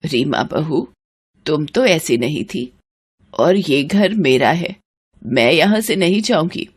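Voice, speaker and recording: A woman's voice: low voice, lively intonation, slow pace, breathy edge, heavily acted. A woman voicing a wronged, remorseful elderly mother-in-law in a moral story, plaintive and pleading. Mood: hurt.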